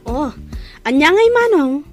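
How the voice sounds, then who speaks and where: A woman's voice: sits high, very animated, measured pace, soft background music. A woman playing a cheeky, teasing younger sister in a radio drama, with an Ilocano accent. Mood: teasing, questioning.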